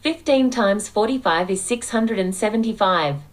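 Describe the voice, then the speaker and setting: A woman's voice: mid-range voice, expressive, normal speed, rough recording. A synthetic woman's voice assistant giving short answers, played through a smart speaker.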